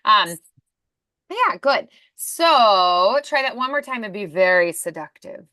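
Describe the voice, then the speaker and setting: A woman coaching in a conversational one-to-one lesson, encouraging and pausing to demonstrate sounds. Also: mid-range voice, very animated, sharp tone, no echo. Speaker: voice acting coach.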